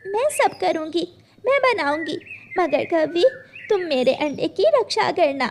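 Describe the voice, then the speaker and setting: A woman voicing a gentle, earnest mother sparrow in a children's cartoon. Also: very high-pitched, animated, unhurried, a little hiss or hum, heavily acted. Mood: eager, anxious. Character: gentle mother sparrow, caring, earnest, storytelling to her daughter.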